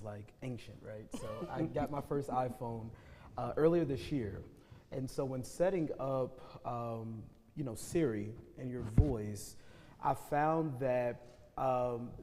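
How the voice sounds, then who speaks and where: A man speaking as a panelist at a university discussion in a reflective, measured way, often checking in with "right?". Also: mid-range voice, darkish tone. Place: university event hall. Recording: long hall reverb.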